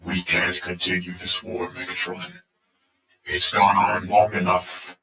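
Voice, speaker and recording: Man playing a low, weary heroic robot commander. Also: completely flat delivery, a little slow, bright, forceful tone. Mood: weary pleading. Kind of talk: fan audio drama acting. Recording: echoey, muffled, distant from the mic.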